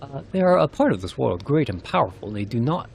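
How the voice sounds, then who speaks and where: A man's voice: medium pitch, sing-song, fast talker, vocal fry, a little hiss or hum, pitched above the performer's own voice. A man voicing an absent-minded, rambling forest sage from a fantasy game, flustered and effusive.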